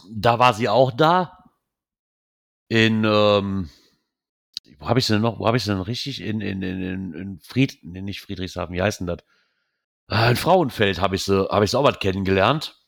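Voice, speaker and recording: A man's voice: fairly low pitch, vocal fry. A man from Germany hosting a casual chat podcast, rambling and correcting himself as he talks. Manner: rambling, self-correcting, animated when joking. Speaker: podcast host.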